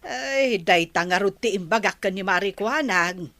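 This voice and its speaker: An eager matchmaking village wife in a comedy radio drama. She has an Ilocano accent and a bright voice at medium pitch, in a dry studio sound. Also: lively intonation, unhurried, no echo. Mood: eager, gossipy.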